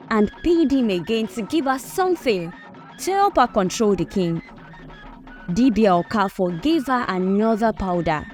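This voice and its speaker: A woman narrating a scripted folktale as an expressive storyteller. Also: mid-range voice.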